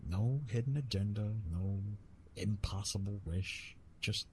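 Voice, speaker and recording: Retired love god, male actor: low, unhurried, halting, bashful and gently amused, intimate ASMR roleplay. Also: slight background noise, natural voice. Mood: amused, bemused. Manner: hesitant, halting, teasing, with repeated words.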